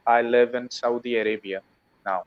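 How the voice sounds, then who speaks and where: Halting man on dull lo-fi call audio. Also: mid-range voice, steady tone. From Pakistan. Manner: slow, halting, short answers. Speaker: teacher, English learner. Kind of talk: casual video-call chat. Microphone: video call.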